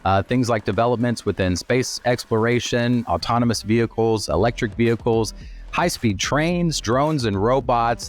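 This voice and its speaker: A man hosting an interview podcast in a conversational way, speaking politely with a halting delivery. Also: mid-range voice. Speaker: podcast host.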